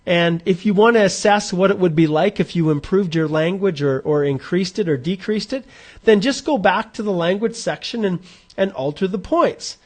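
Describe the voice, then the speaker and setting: Chatty man in his forties, higher voice. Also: normal speed, darkish tone. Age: 40s. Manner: chatty and informal, thinking aloud with restarts. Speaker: immigration advisor hosting a live Q&A. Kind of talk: live-streamed explainer walking through a calculator.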